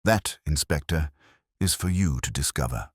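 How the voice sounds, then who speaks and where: Man playing a very deep, coldly evasive town official. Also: expressive, husky, dry recording, clean studio sound, heavily acted. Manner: measured, formal, condescending. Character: cold, evasive, smooth older male town official.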